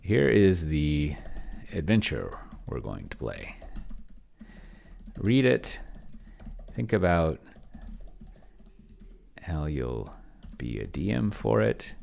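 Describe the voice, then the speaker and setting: A middle-aged man hosts a casual screen-share in a very low voice. His intonation moves around freely, with plenty of vocal fry. He rambles and mutters, thinking out loud as he reads and types. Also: very animated, slow, long pauses, slight background noise. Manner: rambling, muttering to himself while reading and typing. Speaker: host, solo roleplayer testing an AI dungeon master. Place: indoor home room with shelves.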